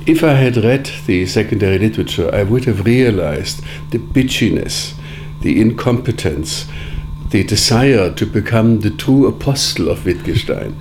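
A man whose first language is Sorbian, answering interview questions in a measured, deliberate way with frequent pauses. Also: fairly low pitch, a little mellow, slight background noise. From Germany. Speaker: interviewee, editor of philosophical writings.

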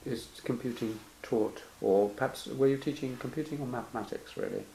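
A man's voice: medium pitch, a little fast, vocal fry, warm tone, roomy sound, a little hiss or hum, poor audio. A man interviewing someone about their career, murmuring frequent hmms and asking probing follow-up questions. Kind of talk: oral history interview.

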